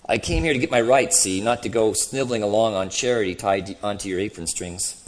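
A man's voice: lowish voice, a little fast, close to an everyday voice. A man voicing a sulky, belligerent ghost of a working-class boss in a storybook reading, blustering and self-righteous. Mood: bitter, defiant.

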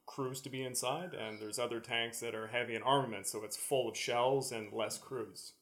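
Man, mid-pitched voice, casual history discussion, podcast panelist. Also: steady tone, normal speed, fairly bright tone.

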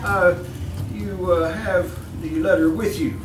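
An actor in an old-time radio drama playing a fussy, smarmy swindler posing as a genteel employer, stammering, repeating himself and chuckling nervously. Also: male voice, high-pitched, fairly even intonation, slow pace, noisy background. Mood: oily, fussy. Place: live theatre stage. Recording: roomy distant live stage, music behind.

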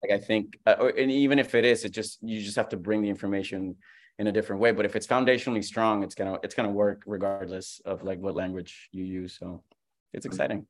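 Fast-talking man, low voice. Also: steady tone. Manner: fast, rambling, many fillers. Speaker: comedian guest. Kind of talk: casual podcast chat.

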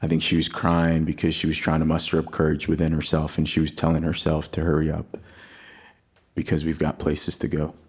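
A young man on a concert stage with a very deep voice that stays low and even. He tells a reflective personal story to lead into his song. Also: a little fast, husky. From Greece, raised in Texas. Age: young adult. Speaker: singer-songwriter performing live. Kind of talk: spoken song introduction on stage. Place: live concert hall stage.

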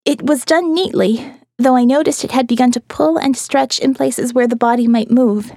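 High-pitched woman narrating, dry close sound. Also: moderate pace, darkish tone, no echo. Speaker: audiobook narrator. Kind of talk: dramatic first-person scripted narration.

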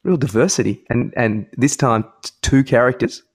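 A man co-hosting a podcast, asking inquisitive questions in a halting, casual conversational way. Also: medium pitch, husky. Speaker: podcast co-host. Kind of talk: casual interviewing chat.

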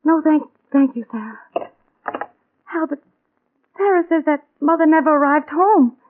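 Seeking a worried American wife, high plaintive voice, insistent, old-time radio drama through narrow bandwidth. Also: female voice, animated, moderate pace. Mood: fretful, plaintive. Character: anxious, fretful wife searching for her missing mother. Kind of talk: 1940s radio drama acting. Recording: tinny narrow-band old radio recording.

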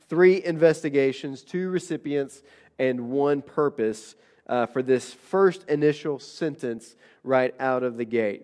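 Male pastor, slightly higher voice, stuffy and nasal from a recent illness, relaxed conversational sermon with many fillers. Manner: conversational preaching with frequent fillers and restarts.

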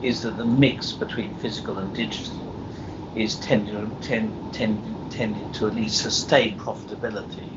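A man's voice: fairly low pitch, steady tone, moderate pace. A British man talking as a publishing guest on a panel, conversational, hesitant and careful to qualify what he says. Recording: muffled, noisy live hotel internet-call recording.

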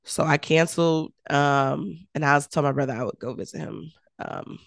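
Raspy-voiced woman with a cold. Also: deep voice, fairly even intonation, moderate pace, no echo, clean studio sound. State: sick, low and raspy voice. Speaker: podcast co-host. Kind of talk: casual chat.

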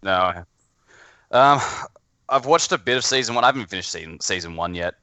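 Lower, bright-voiced man in casual chat. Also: animated, fairly quick, no echo.

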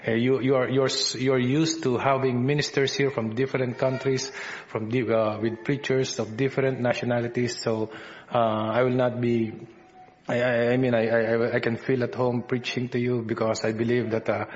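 A man with a Filipino accent preaches a sermon to a congregation, stopping often with fillers and restarting his sentences. His voice sits at an ordinary middle pitch. The church recording sounds a little dull and lo-fi. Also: flat delivery. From Philippines. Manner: halting, many fillers and restarts. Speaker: visiting preacher. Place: church service.

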